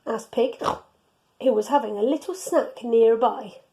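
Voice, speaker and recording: A woman reading a children's storybook aloud in an expressive, teacherly way. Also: fairly high pitch, measured pace, rough recording.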